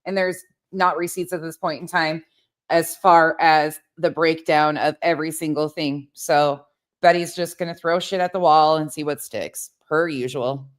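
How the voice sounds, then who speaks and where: Low-voiced, blunt woman commenting, close and dry. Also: fairly even intonation, normal speed, dry recording. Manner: blunt, exasperated, sweary. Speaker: online commentator reacting to another streamer. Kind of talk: informal reaction commentary.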